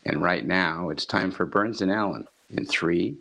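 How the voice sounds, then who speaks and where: A man's voice: low-pitched, measured pace, gravelly, sharp tone, slight background noise. A man who directs the show, giving a scripted introduction as host.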